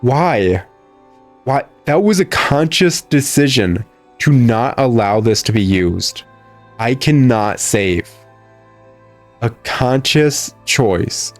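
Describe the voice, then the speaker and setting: A man's voice: medium pitch, expressive, slow pace, soft background music. A man giving sarcastic, exasperated gameplay commentary. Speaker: gamer commentating a playthrough. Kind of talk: mocking gameplay commentary, reading game text aloud.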